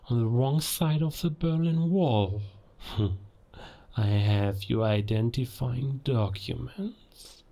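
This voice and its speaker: A gravelly, low-pitched male werewolf played by a man holds forth in a cinematic audio drama. He is cold and scornful of humankind, delivering his villain's monologue at an unhurried pace. Dramatic pauses make each threat deliberate and theatrical. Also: warm tone, full character voice.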